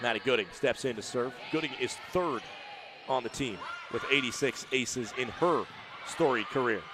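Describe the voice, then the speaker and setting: Guy doing volleyball play-by-play, gets shouty on big plays, noisy gym sound behind. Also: mid-range voice, moderate pace. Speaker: play-by-play commentator. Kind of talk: live volleyball play-by-play commentary.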